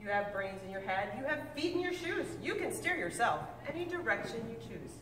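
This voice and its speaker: A woman reading a picture book aloud with lively, expressive delivery, now and then stumbling and correcting herself. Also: fairly quick, slight background noise. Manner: expressive storybook reading with big pitch swings. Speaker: reader. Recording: echoey room, mic at a distance.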